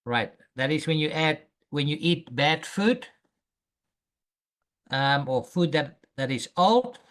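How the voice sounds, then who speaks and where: A man teaching an English lesson, speaking slowly and patiently and repeating phrases for a student to copy. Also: on the high side, lively intonation, fairly bright tone. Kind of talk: language lesson drills.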